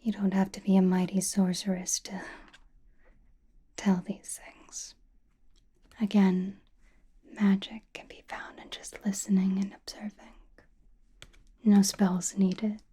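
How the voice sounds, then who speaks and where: A woman playing a calm, knowing, teasing sorceress who reads tarot in an ASMR roleplay, with a breathy, soothing whisper and deliberate delivery. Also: medium pitch. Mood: reassuring. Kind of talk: ASMR roleplay, personal attention.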